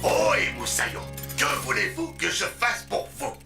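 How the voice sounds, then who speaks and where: An actor playing a cheerful, helpful mechanical pirate in a cartoon, exclamatory and over-eager. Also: male voice, high voice, wildly swooping pitch, normal speed, creaky, roomy sound, music in the background, exaggerated voice.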